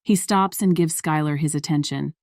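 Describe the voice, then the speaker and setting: Female narrator, deep register, scripted fiction read, dry close recording. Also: moderate pace, a little mellow, no echo.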